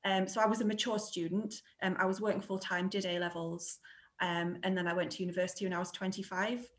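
Woman guest explaining clearly. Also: mid-range voice, little pitch movement, fast. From England. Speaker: guest, scientist. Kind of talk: interview answers.

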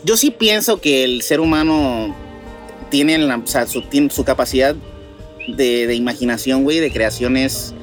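A Mexican man co-hosting a casual podcast debate, haltingly repeating words as he reasons things out. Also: medium pitch, faint music behind. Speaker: podcast co-host.

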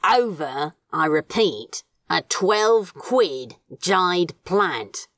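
A woman's voice: fairly low pitch, animated, very slow, fairly bright tone, no echo, clean studio sound, heavily acted. A woman voicing an irritable, sarcastic male demon in an audiobook story, emphatic and scornful. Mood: grudging, sarcastic. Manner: exasperated, emphatic, sardonic.

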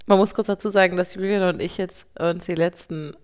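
A woman in her thirties co-hosting a podcast as a parent, talking fast and getting worked up, sometimes turning sarcastic. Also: on the low side, fairly even intonation, a little mellow. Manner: fast, agitated, sarcastic when upset. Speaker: podcast co-host. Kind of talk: casual podcast chat.

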